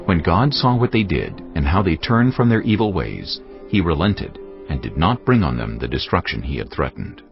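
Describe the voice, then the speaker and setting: A man narrating scripture for a dramatized audio production in a deliberate storytelling manner. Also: low-pitched, very animated, gravelly. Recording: dull band-limited audio, faint music.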